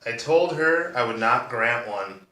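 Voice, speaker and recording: A man playing a soft-spoken, bitter defendant giving measured, restrained testimony in a courtroom monologue. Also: medium pitch, animated. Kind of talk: monologue performance of a courtroom drama. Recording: roomy echo, distant microphone.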